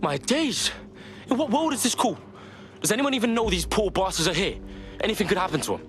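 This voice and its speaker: An actor with a London accent playing a slangy, emphatic young resistance fighter in a video game. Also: male voice, high voice, sing-song, fairly quick, fairly bright tone, faint music behind. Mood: outraged. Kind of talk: video game cutscene acting.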